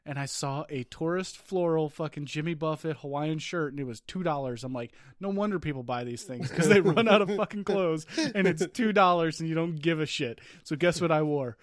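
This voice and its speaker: A man's voice: fairly high pitch, brisk, hardly any pauses, no room sound, clean studio sound. A man co-hosting a podcast in casual chat, joking and swearing often with frequent laughter. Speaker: podcast co-host.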